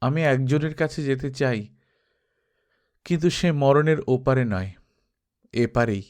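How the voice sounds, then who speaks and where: A man's voice: mid-range voice, a little slow, darkish tone, clear, resonant tone, close to an everyday voice. A man voicing a playful, teasing young woman, a secretive sister-in-law, in a dramatised Bengali novel reading. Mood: wistful, evasive. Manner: teasing, mock-scolding.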